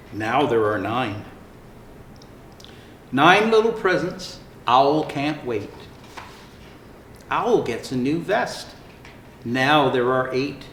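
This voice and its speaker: Man playing jolly, high-pitched Santa reading stories. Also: fairly bright tone, exaggerated voice. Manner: theatrical, unhurried, jolly. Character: jolly old Santa Claus greeting children. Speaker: storyteller reading picture books aloud. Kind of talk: expressive children's storybook read-aloud. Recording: roomy echo, faint background noise.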